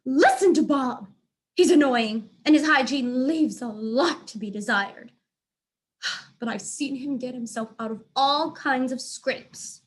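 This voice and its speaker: Storybook lady cat, female reader: haughty, theatrical, besotted, high voice. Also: slow pace. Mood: haughty, commanding. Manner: grand, melodramatic delivery. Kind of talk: children's storybook character voice.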